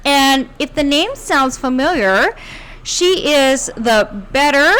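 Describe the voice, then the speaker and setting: A woman's voice: high voice, lively intonation, slow, heavy background noise. A woman with a Canadian accent co-hosting a podcast in a friendly, conversational style. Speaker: podcast co-host. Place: coffee shop.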